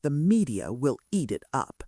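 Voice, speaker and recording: A woman's voice: very deep voice, sing-song, unhurried, dark tone, husky, no room sound, studio quality, full character voice. A woman voicing a clinical, sardonic male kidnapper who speaks with casual menace in a romance audiobook. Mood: callous, sardonic. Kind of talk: romance audiobook character dialogue.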